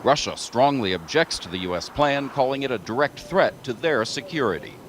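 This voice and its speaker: A man news correspondent giving a scripted report in an even, formal delivery. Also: medium pitch, fairly quick, a little hiss or hum.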